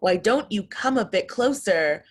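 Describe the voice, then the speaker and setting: A woman's voice: mid-range voice, lively intonation, unhurried, husky. A woman voicing a grinning, menacing shark with a sly, coaxing manner for a children's storybook. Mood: sly, inviting. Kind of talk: children's picture-book read-aloud.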